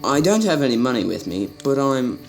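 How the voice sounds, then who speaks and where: Man acting a spoiled young prince, animated. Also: mid-range voice, fairly quick, creaky, faint music behind. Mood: pleading. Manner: hesitant, indignant. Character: spoiled, naive young prince, lost and hungry.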